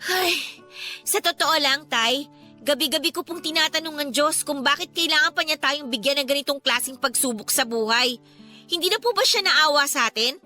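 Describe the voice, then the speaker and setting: A poor teenage daughter in a radio drama. Her voice is very high and bright, pleading and full of questions, yet respectful. Soft music sits underneath. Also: moderate pace. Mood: frustrated, weary. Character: distressed teenage daughter of a poor flooded family. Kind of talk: Tagalog radio drama acting.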